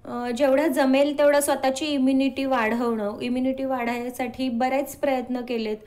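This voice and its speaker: A woman's voice: high-pitched, flat delivery, moderate pace, a little mellow. A woman answering as an interview guest, earnestly explaining and mixing in English words.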